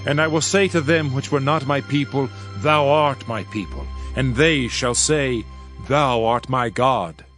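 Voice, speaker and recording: Over prominent music, a solemn male prophet, played by a man declaims scripture. His delivery is grave and measured, in a mid-range voice. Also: medium pitch, a bit bright, close to an everyday voice. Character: Hosea, solemn male Old Testament prophet. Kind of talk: dramatized King James scripture narration. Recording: music under the voice.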